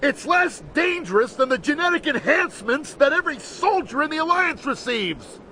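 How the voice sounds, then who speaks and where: A man's voice: extremely high pitch, very animated, moderate pace, pushed and bright, slight background noise, exaggerated voice. A man playing a worried, insistent brother-in-law in a video game drama. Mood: heated.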